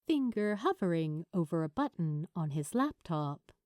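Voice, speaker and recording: Woman reading an audiobook, unhurried, warm dark tone, pitch moves around a lot, dramatic pauses. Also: big pitch swings, no room sound, clean studio sound. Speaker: fan fiction narrator. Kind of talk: expressive scripted audiobook narration.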